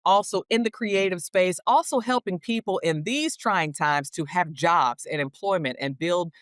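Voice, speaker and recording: A woman's voice: on the low side, normal speed, no echo. A woman presenting and interviewing as a show host, with a polished, complimentary delivery.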